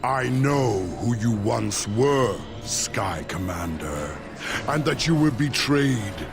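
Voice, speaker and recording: An actor playing an imperious, ruthless giant robot warlord in a video game, commanding, menacing and grandiose. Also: male voice, lively intonation, slow, vocal fry. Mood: cold menace. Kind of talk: video game cutscene acting. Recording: loud music and battle rumble behind.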